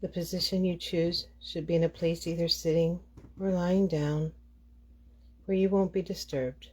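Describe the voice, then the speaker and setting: Mellow low-voiced woman guiding meditation. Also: little pitch movement, measured pace, slightly rough. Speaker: meditation guide. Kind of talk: guided meditation narration.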